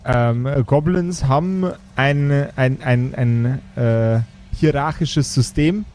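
A man's voice: mid-range voice, takes it slowly, darkish tone. Male game master, noisy recording. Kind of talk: improvised tabletop game narration.

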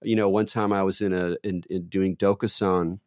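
A man with a booming voice talking in a rambling, thoughtful way, full of fillers and restarts. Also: flat delivery, normal speed, a little mellow, no echo, clean studio sound. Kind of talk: thoughtful conversational talk.

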